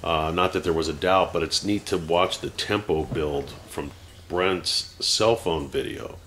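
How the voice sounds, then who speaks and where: A man's voice: sits low, a little slow, a bit bright, slight background noise. A man in his forties talking unscripted to a camera at home, rambling with many fillers and restarts and turning heated. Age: 40s. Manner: grows heated and ranting. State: teary-eyed. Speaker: vlogger.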